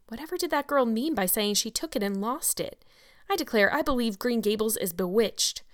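A stern, sharp-tongued older farm woman with a brisk, no-nonsense manner, played by a woman narrating a storybook. Her scolding comes a little higher and firmer than the reader's own voice. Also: lively intonation, dry recording, studio quality, natural voice. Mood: bewildered. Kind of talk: audiobook character reading.

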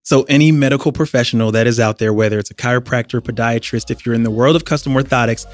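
A man's voice: mid-range voice, fairly even intonation, quick pace. A man hosting a podcast, chatty and upbeat as he interviews a guest. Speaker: podcast host. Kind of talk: casual interview.

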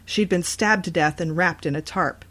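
A woman's voice: lowish voice, normal speed, a bit bright. A woman voicing a frightened, reluctant landlady breaking grim news in an audio horror story. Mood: grave, reluctant.

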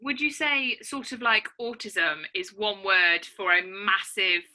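A woman's voice: mid-range voice, measured pace, sharp tone. A woman hosting a podcast from home, asking questions in a friendly, keen way. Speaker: podcast host. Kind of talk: podcast interview.

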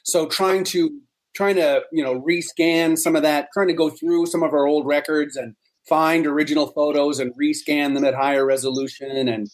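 Higher-voiced middle-aged man, halting explainer. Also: fairly even intonation, rough recording. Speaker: interview guest, member of a hobbyist society. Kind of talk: casual, explanatory interview talk.

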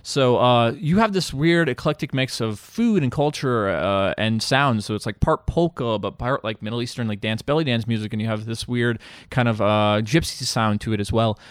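A man from Bosnia with a mid-pitched voice talks casually as a podcast guest. The sound is dry and close, and he explains things at length, joking and wandering off on tangents with bits of crude slang. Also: moderate pace, hardly any pauses, smooth, no echo. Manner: jokey, digressive, crude slang.

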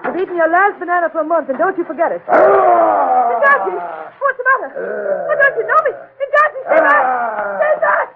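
A woman's voice: extremely high pitch, sing-song, normal speed, creaky, shouting, narrow, tinny audio, heavily acted. An actress playing a headstrong, playful woman in 1940s radio drama style, theatrical in manner. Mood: terrified. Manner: coaxing, theatrical. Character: headstrong, playful woman devoted to her pet gorilla. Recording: noisy band-limited old radio recording.

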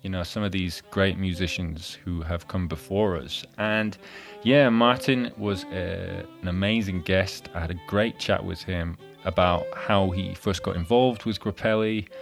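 A man's voice: low-pitched, measured pace, creaky, faint music behind. A young man with a mixed Hull and Scottish accent, hosting a podcast and chatting casually, with lots of restarts. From born in Hull, raised in Scotland. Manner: chatty, with frequent restarts and fillers. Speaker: podcast host. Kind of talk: casual interview chat.

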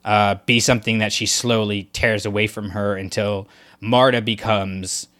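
A man's voice: lowish voice, level tone, normal speed, fairly bright tone. A man co-hosting a casual discussion podcast, talking things through in a thoughtful, analytical way with frequent restarts. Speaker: podcast co-host.

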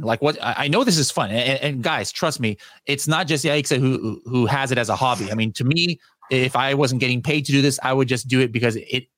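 A man's voice: mid-range voice, fairly bright tone, dry recording, clean studio sound. A man hosting a podcast in casual interview chat, rambling with many fillers and restarts. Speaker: podcast host.

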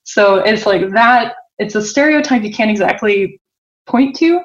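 A woman with an American accent talks in a loose, conversational interview style. Her voice sits at a medium pitch and she acts out other people's remarks as she explains. The recording is a little dull and lo-fi. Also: unhurried. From United States (Boston). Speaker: interview guest, tech entrepreneur. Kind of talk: casual interview talk.

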